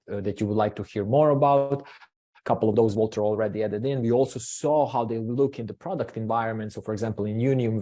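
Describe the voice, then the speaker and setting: A man from Croatia hosting a business webinar from the marketing side, speaking haltingly with many fillers and restarts. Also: mid-range voice, dark tone.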